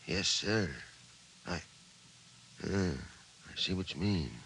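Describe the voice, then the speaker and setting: A man's voice: deep voice, takes it slowly, fairly bright tone. A man playing a blustering, sputtering military inspector in a 1950s radio drama. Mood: subdued. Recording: hissy 1950s radio recording.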